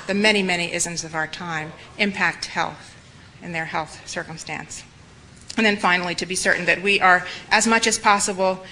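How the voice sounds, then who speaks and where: A slightly hoarse woman giving an earnest, reflective spoken lecture to medical students in a lecture room. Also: on the low side, pushed and bright, a little hiss or hum, poor audio. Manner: earnest, reflective, with emphatic repetition.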